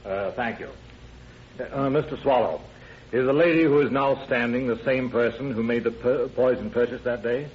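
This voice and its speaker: Actor doing formal prosecutor, methodical, mid-pitched guy, muffled old radio play with some hiss. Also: normal speed, lightly acted. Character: formal, methodical district attorney questioning a witness. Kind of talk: old-time radio drama acting. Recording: muffled, noisy vintage radio recording.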